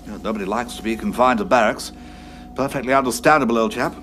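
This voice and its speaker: An actor playing a brisk, loyal British military brigadier in an audio drama, with clipped, old-fashioned officer's bluster and a British accent. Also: male voice, medium pitch, a bit bright, faint music behind, natural voice. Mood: awkward, understanding. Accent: British English.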